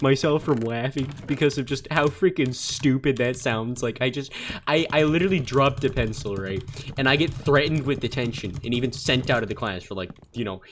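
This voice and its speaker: A man's voice: medium pitch, a bit bright, a little hiss or hum. A man telling funny stories while he plays a game. He rambles, stumbles over his words and laughs easily. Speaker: gaming channel creator. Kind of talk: casual storytelling over gameplay. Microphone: desktop mic.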